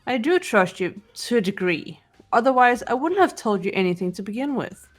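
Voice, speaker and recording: A woman playing a cool, analytical teenage girl detective in a game voice-over, speaking in a measured, serious way. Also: fairly high pitch, big pitch swings. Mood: guarded, instructive. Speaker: amateur voice actor. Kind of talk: fan voice-over of a visual novel game. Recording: game music under the voice.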